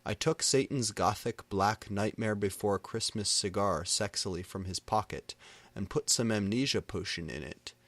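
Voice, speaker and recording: A man narrating comic fan fiction as a deadpan dramatic reading. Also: lowish voice, no room sound.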